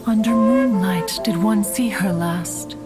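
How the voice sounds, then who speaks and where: A woman's voice: medium pitch, slow, natural voice. A woman voicing a stately, ancient immortal bird mentor in a video game, formal, archaic and grand. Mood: solemn, wistful. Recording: music behind the voice.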